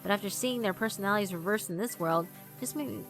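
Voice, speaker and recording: A woman's voice: mid-range voice, quick pace, faint music behind, close to an everyday voice. A woman voicing a timid, well-meaning girl who muses to herself hesitantly in a visual novel. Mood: fretful musing.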